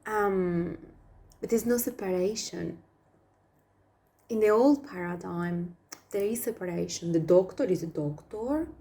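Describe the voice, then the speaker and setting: A woman from Argentina with an Argentine Spanish accent, a Spanish native speaker giving a spiritual message to viewers, speaking softly and dropping into whispers on some words. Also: lowish voice. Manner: slow, soft, drops to whispers on some words. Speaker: spiritual channel.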